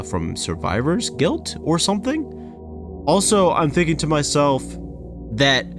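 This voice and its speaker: Casual male reaction commentator, animated and rambling, speaking over prominent background music. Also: medium pitch. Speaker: reaction video creator. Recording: loud music under the voice. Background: background music from games.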